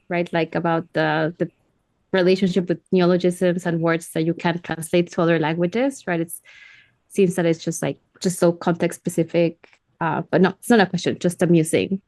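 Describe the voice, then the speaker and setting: A woman offers a halting, tentative remark in a casual conversation. Her voice sits somewhat low and the recording sounds dry and close. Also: steady tone, darkish tone, no room sound. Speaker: audience member. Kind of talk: casual musing comment.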